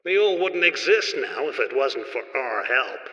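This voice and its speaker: A man's voice: medium pitch, big pitch swings, unhurried, noisy background. An actor in an audio play voicing a ruthless, scheming male corporate villain with a smug, menacing, theatrical manner. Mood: smug, gloating. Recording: dull lo-fi, long hall reverb.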